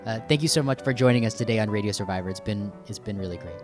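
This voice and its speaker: A man's voice: fairly low pitch, rapid-fire, over music. A man co-hosting a radio show, talking in a conversational discussion style.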